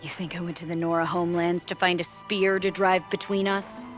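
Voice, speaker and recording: Wry, defiant huntress, played by an actress over music. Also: female voice, lowish voice, little pitch movement, brisk, lightly acted. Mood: wry, determined. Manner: terse, wry. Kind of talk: video game cutscene acting.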